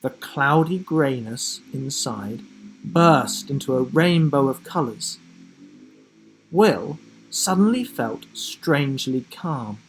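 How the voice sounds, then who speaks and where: Expressive man reading a storybook aloud, very animated. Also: mid-range voice, slow, faint music behind, rough recording. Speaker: story reader. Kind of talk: dramatic scripted storybook narration.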